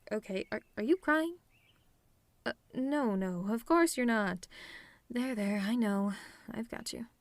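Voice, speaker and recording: A woman acting a smug but secretly tender telepathic villain in a roleplay ASMR monologue, soft-spoken and theatrical with sardonic delivery. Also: mid-range voice, dry recording, lightly acted. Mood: flustered, comforting.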